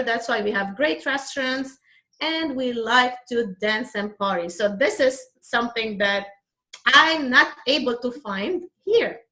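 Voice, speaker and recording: Higher-voiced Lebanese-accented woman. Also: pushed and bright. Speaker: interview guest. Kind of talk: conversational interview answers.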